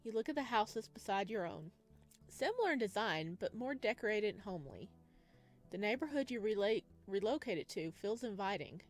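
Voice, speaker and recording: A woman hosting a gaming video, reading visual novel narration aloud and adding casual asides. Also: mid-range voice. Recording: music playing behind the voice.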